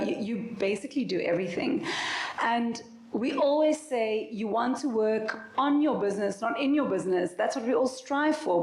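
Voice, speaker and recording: A woman speaking frankly as a founder on a conference panel, with a loud voice. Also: a little high, a little hiss or hum. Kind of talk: candid panel answer. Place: conference venue. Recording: echoey event room.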